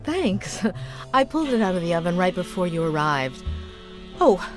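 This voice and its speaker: A woman's voice: on the low side, big pitch swings, normal speed, faint music behind. An actress playing an anxious, polite woman in a 1950s noir game, speaking in an earnest, apologetic way. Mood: flustered, hospitable. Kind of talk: dramatic game dialogue.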